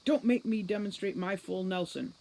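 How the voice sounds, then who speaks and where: A woman's voice: medium pitch, moderate pace, creaky, dark tone, voice pushed up, natural voice. A woman voicing a feisty young female guard in a storybook, bossy, scolding and mocking.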